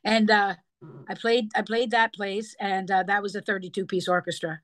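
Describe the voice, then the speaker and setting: Bright, lively woman singer telling stories. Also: medium pitch, normal speed, no room sound. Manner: animated storyteller who breaks into scat sounds. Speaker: singer and guest. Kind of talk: casual interview chat.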